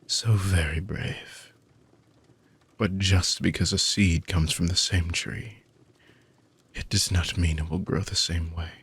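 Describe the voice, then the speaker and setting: A man playing an ancient, weary dark mage villain in a fantasy audio roleplay, husky and gravelly, speaking theatrically with long dramatic pauses. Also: low-pitched, fairly even intonation, full character voice. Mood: regretful, tender. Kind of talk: fantasy audio roleplay monologue addressed to listener.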